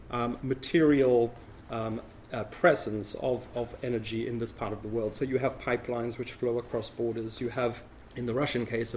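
A man with a slightly crackly voice from a cold, giving a measured, explanatory academic talk as a panel discussant. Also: medium pitch, a little mellow.

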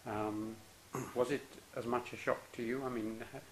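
A male interviewer asking questions politely and hesitantly, with many ums and soft acknowledgements. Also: medium pitch, flat delivery, moderate pace, slight background noise, low-quality recording. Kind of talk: oral history interview.

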